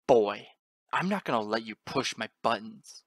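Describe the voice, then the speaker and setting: A woman's voice: extremely low pitch, animated, moderate pace, husky, no room sound, studio quality, full character voice. A woman voicing an angry, cruel adult male unicorn father in a dramatic storybook reading, snarling and growling with menace. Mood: snarling, threatening. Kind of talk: dramatic audiobook character acting.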